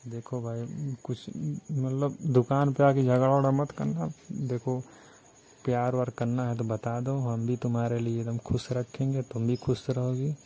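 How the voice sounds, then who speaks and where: A man's voice: medium pitch, level tone, measured pace, warm tone, close to an everyday voice. A man playing a flirtatious, pleading fruit-cart seller with an eastern Uttar Pradesh accent, coaxing and rambling colloquially as in a phone romance sketch. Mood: wooing. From Barabanki, Uttar Pradesh, India. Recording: noisy phone-call recording, constant hiss.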